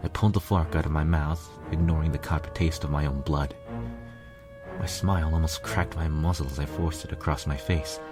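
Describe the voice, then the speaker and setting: A man narrates a first-person story in a deep, low voice. His reading is scripted fiction, with music playing behind him. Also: steady tone, fairly quick.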